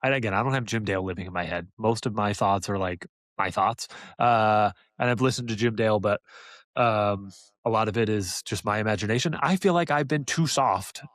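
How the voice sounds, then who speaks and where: A man's voice: fairly low pitch, brisk, fairly bright tone, no echo, clean studio sound. A man co-hosting a podcast in casual review discussion, stressing points by repeating his phrases. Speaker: podcast co-host.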